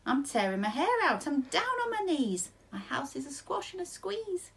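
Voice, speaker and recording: A woman voicing a flustered, grumbling little old lady from a storybook, pleading and exclaiming with drawn-out words. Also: high-pitched, big pitch swings, vocal fry, a bit bright, a little hiss or hum, pitched above the performer's own voice, exaggerated voice. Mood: distressed.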